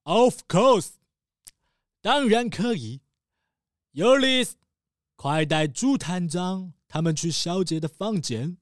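An actor playing a fretful, excitable rich cruise-ship tycoon who dotes on his daughter, with frantic, dramatic pleading in a children's audio drama. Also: high-pitched, slow pace, pauses often, dry recording, studio quality. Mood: commanding. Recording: music bed under the voices.

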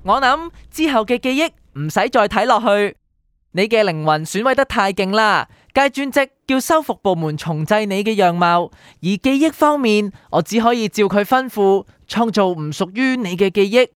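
A woman's voice: medium pitch, lively intonation, moderate pace, smooth, no echo, clean studio sound, natural voice. A woman playing a polite, evasive memory doctor in a radio drama, formal and deferential, with a Hong Kong Cantonese accent. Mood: calm, confiding. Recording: music bed behind the voice.

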